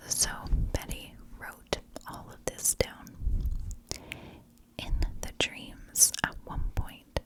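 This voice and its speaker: A woman whispers a story in ASMR style, never rising into full voice. She takes it very slowly, parcelling the narration out in short, hushed phrases like a host guiding listeners through a tale. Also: low-quality recording. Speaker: ASMR true crime storyteller, channel host.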